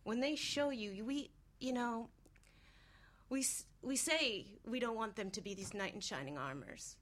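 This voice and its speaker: A woman's voice: a little high, normal speed, fairly bright tone, distant mic. A woman on a radio talk panel, speaking candidly and emphatically, often posing rhetorical questions. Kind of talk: candid conversational discussion.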